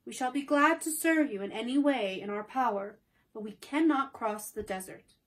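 A woman voicing a dignified winged monkey king in a storybook reading, speaking slowly and formally. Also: fairly high pitch, close to an everyday voice. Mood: grave.

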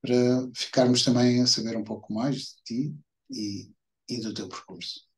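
A middle-aged man with a European Portuguese accent, hosting an informal interview and rephrasing his questions haltingly as he goes. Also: mid-range voice, fairly even intonation. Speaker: host interviewer. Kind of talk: informal video-call interview. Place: indoor room, video call. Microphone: computer mic on a video call.

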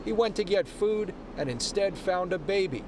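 A man's voice: sits high, expressive, normal speed. Young male reporter on a noisy street. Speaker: field reporter. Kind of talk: live news report. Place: city sidewalk outdoors. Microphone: lapel mic. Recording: street traffic noise behind.

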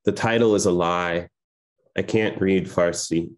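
Poet in his 30s, deep low voice, reading over a laptop webcam at home. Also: fairly even intonation, a little slow. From Iran. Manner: soft-spoken, hesitant with many fillers between poems. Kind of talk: poetry reading. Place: home room with framed pictures on the wall. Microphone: laptop webcam video call.

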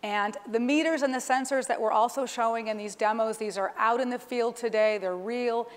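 A woman's voice: on the high side, fairly even intonation, moderate pace. A middle-aged woman giving an explanatory live demonstration from a conference stage. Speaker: corporate executive presenting a keynote demonstration. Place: large conference stage, indoors. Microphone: clip-on lapel microphone. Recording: reverberant hall sound through PA.

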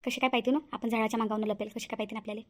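Actress doing bossy nosy village lady detective, cartoon, varhadi marathi, high voice, talks super fast. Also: little pitch movement, noisy background, lightly acted. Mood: urgent. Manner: urgent, commanding. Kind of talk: cartoon character dialogue.

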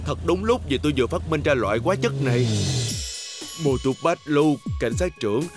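Man as an excitable high-voiced cartoon inventor. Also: fairly quick, close to an everyday voice. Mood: eager. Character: excitable male inventor scientist, fussy about his gadgets. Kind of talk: Vietnamese cartoon dub. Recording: loud cartoon music and vehicle noise.

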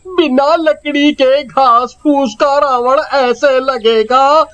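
A performer voicing an excitable, teasing village boy in a children's cartoon. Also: high voice, slow pace, muffled recording. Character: excitable village boy building an effigy with friends. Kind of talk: Hindi children's cartoon voice acting.

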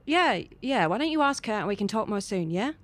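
A woman's voice: mid-range voice, very animated, brisk, natural voice. A woman playing a polite, put-upon young woman in an audio drama, a little hesitant. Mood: distracted, polite. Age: young adult. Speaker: actor in a fiction podcast. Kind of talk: naturalistic audio drama dialogue.

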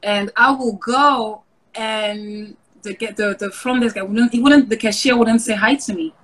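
A woman's voice: fairly high pitch, unhurried, slight background noise, rough recording. A woman who grew up in France, speaks casually and reflectively as an interview guest over a video call. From Paris suburbs, France.

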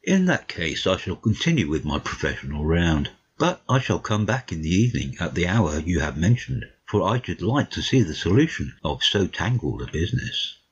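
Deep, husky man narrating a story. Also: big pitch swings, moderate pace, hardly any pauses, highs cut off. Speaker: audiobook narrator. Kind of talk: literary first-person narration in a dramatic reading.

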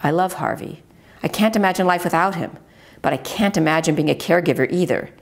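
A middle-aged American woman reading her own memoir aloud in a measured, earnest manner. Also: on the low side. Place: at a wooden table in a home dining room.

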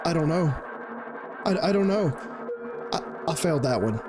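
Animated, jokey man reacting to a game. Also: fairly high pitch, normal speed. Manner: jokey, repetitive, swearing. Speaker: gaming video host. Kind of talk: casual gameplay reaction commentary. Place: indoor gaming setup. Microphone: close desk microphone and headset. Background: game music.

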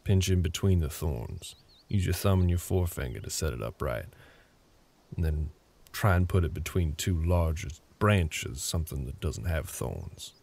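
A man voicing a gruff, terse older cowboy with a Southern US drawl and a gravelly voice in a roleplay audio drama. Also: very deep voice, animated, moderate pace, darkish tone, exaggerated voice. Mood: softening, gruff. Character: gruff older cowboy ranch hand, grumpy, curt, grudgingly helpful.

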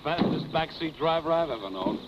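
An American actor playing a flippant, carefree husband with dry, glib delivery in a 1940s film. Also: male voice, fairly high pitch, lively intonation, a little fast, heavy background noise, natural voice. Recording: dull 1940s film soundtrack.

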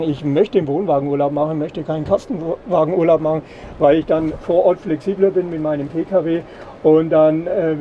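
Middle aged guy explaining product stuff, bit halting, voice on the high side, busy fair noise. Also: warm tone, dull audio. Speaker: caravan company representative. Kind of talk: product presentation in an interview. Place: caravan at an indoor trade fair. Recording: clear background noise of busy trade fair.

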